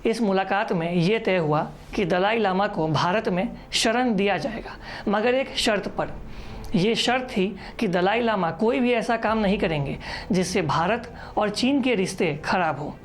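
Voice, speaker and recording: A woman's voice: mid-range voice, measured pace, a little hiss or hum. A woman reading a scripted news explainer as a narrator. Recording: voice-over with music underneath. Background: background music.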